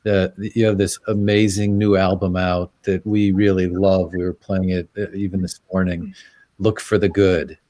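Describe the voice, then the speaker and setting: Brief: low-pitched male host on a video call, dry close sound, halting and warm in thanks. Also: flat delivery, no room sound. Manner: halting, grateful, repeats words while starting sentences. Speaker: event host. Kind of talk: live-streamed conversation.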